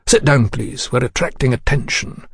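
A man voicing an anxious, defensive English gentleman official in an audiobook, clipped, aggrieved and bitter. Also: medium pitch, moderate pace, gravelly, slightly rough, no echo. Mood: uneasy. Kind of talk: audiobook character dialogue.

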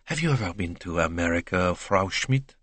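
A man doing a gently probing courteous detective. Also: sits low, lively intonation, moderate pace, no room sound. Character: calm, courteous middle-aged male detective.

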